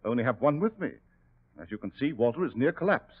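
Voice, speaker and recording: A slippery doctor villain in an old radio mystery. He speaks in blustering, animated swings of pitch, through a muffled vintage recording. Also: fast, slightly rough. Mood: defensive, flustered. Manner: blustering, hesitant, protesting. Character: smooth, evasive male doctor, villain under suspicion. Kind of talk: 1940s radio acting.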